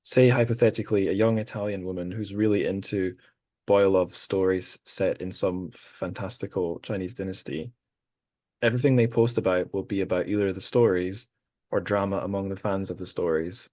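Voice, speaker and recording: A man hosting a podcast, musing in a relaxed group conversation with thoughtful, rambling asides. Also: deep voice, normal speed, no room sound, studio quality. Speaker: podcast host.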